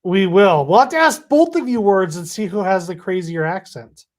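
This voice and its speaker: A man's voice: sits high, animated, moderate pace. A man, hosting a casual chat and teasing his co-host. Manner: easygoing, teasing.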